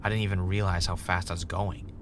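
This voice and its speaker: A man's voice: low-pitched, little pitch movement, fast talker, background noise, lightly acted. A man playing an anxious, sarcastic investigator in an audio drama. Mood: shaken, tense.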